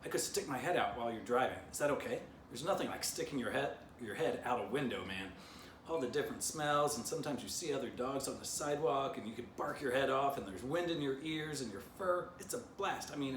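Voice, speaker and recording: A man voicing an eager, rambling pet dog in a children's storybook, begging excitedly. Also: medium pitch, normal speed, voice pushed up, close to an everyday voice. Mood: excited, pleading. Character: excitable, pleading, window-loving male pet dog. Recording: distant mic, roomy, noisy.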